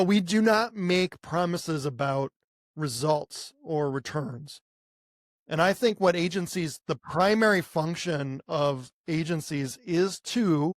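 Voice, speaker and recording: A man's voice: high voice, no room sound, clean studio sound. An American man co-hosting a podcast in casual talk, conversational and given to posing rhetorical questions. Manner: conversational, poses rhetorical questions and voices imagined clients. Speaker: podcast co-host, marketing agency owner.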